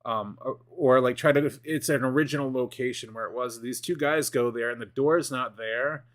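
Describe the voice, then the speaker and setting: A man in his forties chatting casually as a streamer, rambling and excitable, with lots of fillers and restarts. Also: medium pitch, moderate pace. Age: 40s. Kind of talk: casual gaming chat.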